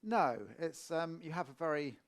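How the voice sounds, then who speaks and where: A man from Portsmouth with a Middle England accent, hosting a casual interview and telling relaxed anecdotes. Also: on the high side, moderate pace, a crowd in the background. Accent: Middle English. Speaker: interviewer, podcast host.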